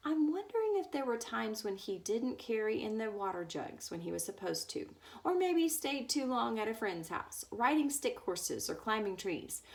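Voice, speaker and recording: A woman reading a book aloud as a storyteller, now and then stumbling over words. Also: a little high. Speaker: story reader.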